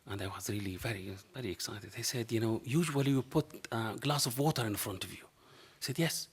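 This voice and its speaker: A man's voice: medium pitch, fairly quick, slightly rough. A middle-aged man with a strong Arabic accent, speaking on a panel and telling a story in which he acts out both sides of a conversation. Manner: passionate, non-native phrasing. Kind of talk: anecdotal public talk. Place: dark lecture hall panel table. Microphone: table microphone.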